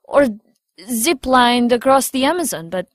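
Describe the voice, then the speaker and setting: A woman's voice: on the high side, expressive, a little slow, no echo. A woman playing a confident, teasing ex-agent and operations chief in an audio drama, with a playful, coaxing manner.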